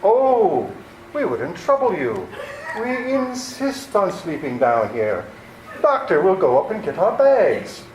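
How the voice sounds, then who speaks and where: Man acting a cruel radio-play villain, threatening, slow, high-pitched, roomy recording. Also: wildly swooping pitch, full character voice. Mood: menacing, mocking. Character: menacing criminal brother, sinister and cruel. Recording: roomy, echoing recording with faint noise.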